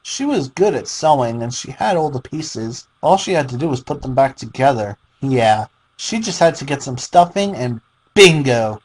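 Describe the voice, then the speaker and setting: A man reading fan fiction as a theatrical, deliberate dramatic narration. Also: mid-range voice, expressive, a little hiss or hum, rough recording.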